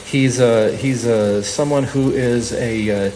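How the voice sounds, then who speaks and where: Halting male radio host, live stage sound. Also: medium pitch, steady tone, a little mellow, slight background noise. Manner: halting, hesitant phrasing with repeated words. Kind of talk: live stage introduction and interview. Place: live stage broadcast show.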